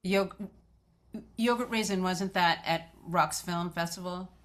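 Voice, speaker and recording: A woman's voice: on the low side, unhurried. A woman moderating a film festival Q&A, interviewing casually and putting questions in a conversational way.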